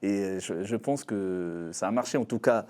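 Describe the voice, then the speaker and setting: A man's voice: on the low side, measured pace, a little mellow. A man of Arabic mother tongue, an interview guest answering in French, hesitant with frequent fillers and restarts.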